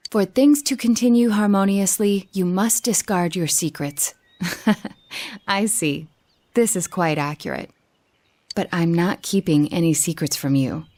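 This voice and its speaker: A reserved young detective girl voiced by an actress in a video game. She is modest and easily embarrassed, speaking politely and carefully at a medium pitch, with a slight hesitance. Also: darkish tone, close to an everyday voice. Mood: wry, composed. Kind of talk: video game dialogue acting.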